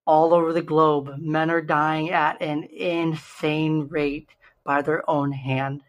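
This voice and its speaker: A young man with a slightly raspy, hoarse voice, earnestly hosting a solo podcast and slowing down to stress key numbers. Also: fairly high pitch, flat delivery. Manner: earnest, stresses numbers slowly, self-interrupting restarts. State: hoarse from shouting the day before. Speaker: podcast host.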